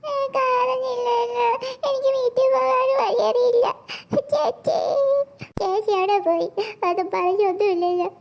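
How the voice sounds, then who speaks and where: A woman voicing an excitable little girl, a pleading younger sister in a cartoon, given to exclamations. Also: extremely high pitch, unhurried, creaky, exaggerated voice. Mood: tearful, frightened. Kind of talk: exaggerated cartoon dialogue.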